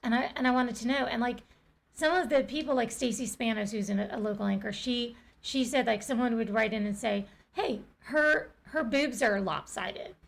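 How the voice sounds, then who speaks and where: A woman with a raspy voice, a guest and TV morning show co-host, speaking candidly and with some self-doubt in a casual podcast conversation. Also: fairly high pitch, moderate pace.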